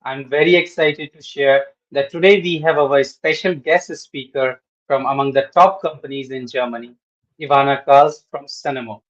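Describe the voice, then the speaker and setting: A man's voice: medium pitch, fairly even intonation, moderate pace. Man hosting a webinar on muffled audio. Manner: polite, formal, somewhat scripted. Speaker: webinar host. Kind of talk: formal introduction.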